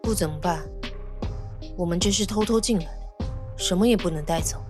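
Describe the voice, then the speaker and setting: A woman playing a calm, observant young investigator in an audio drama, speaking in a composed, understated way. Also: fairly low pitch, normal speed, over music, lightly acted. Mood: calm, deliberate.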